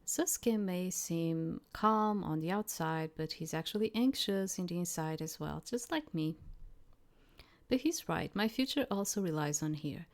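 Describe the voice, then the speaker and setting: A woman's voice: lowish voice, expressive, a little slow. A woman streamer reading visual novel text aloud as narration, with casual asides.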